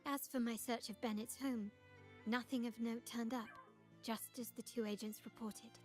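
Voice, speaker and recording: An actress playing a composed, calculating young woman investigating a theft, speaking in measured, formal sentences. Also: a little high, fairly even intonation, darkish tone, close to an everyday voice. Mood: calm, analytical. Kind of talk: video game dialogue. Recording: game music underneath.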